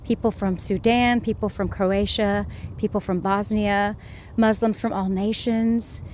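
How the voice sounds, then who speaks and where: A woman from Georgia being interviewed outdoors, talking earnestly and enthusiastically in quick bursts. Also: medium pitch, steady tone, a little mellow, slight background noise. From Georgia, USA. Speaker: interview guest. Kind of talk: casual interview conversation.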